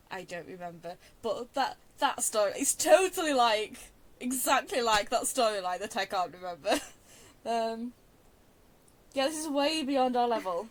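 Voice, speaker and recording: A woman gaming streamer giving casual, playful and rambling commentary on a playthrough. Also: mid-range voice.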